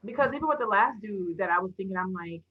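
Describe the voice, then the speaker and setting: A woman's voice: mid-range voice, expressive, quick pace, slightly rough. A young adult woman on thin phone-like audio, chatting casually and candidly as a podcast co-host. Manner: candid, colloquial. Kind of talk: casual chat.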